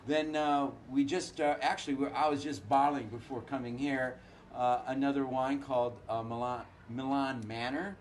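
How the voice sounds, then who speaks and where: Hoarse winemaker full of uhs. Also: male voice, medium pitch, steady tone, a little hiss or hum. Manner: halting, many filler uhs. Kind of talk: casual chat.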